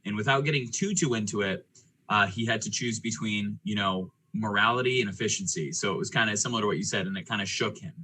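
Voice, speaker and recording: A man's voice: lowish voice, quick pace, bright, forceful tone. A man talking casually and explaining things as game master of a tabletop game show. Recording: clearly noisy background with clicks.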